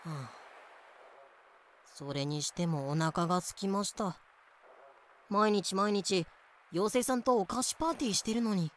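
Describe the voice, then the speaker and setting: A man playing a polite, long-suffering young navigator with dry, deadpan complaints in a fan-made voice drama. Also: high-pitched, unhurried, pauses often, a little hiss or hum. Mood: polite, bemused. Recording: music behind the voice.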